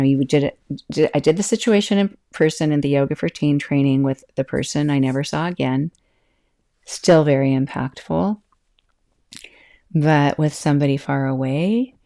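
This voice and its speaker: A middle-aged woman hosts her own podcast as a yoga teacher, speaking in a mellow, gravelly voice. She talks casually, breaks off her own sentences and laughs often. Her voice stays calm even when it turns loud and carries. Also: sits low. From California. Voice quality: can be loud and projecting, calm-sounding. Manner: chatty, self-interrupting, laughs often. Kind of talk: casual solo podcast talk.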